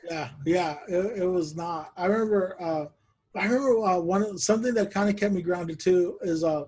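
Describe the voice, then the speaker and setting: A man hosting a podcast over a video call, telling stories in a relaxed chat and laughing often. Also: high voice, normal speed, breathy edge. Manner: laughs often, animated storytelling. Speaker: podcast host. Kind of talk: casual chat.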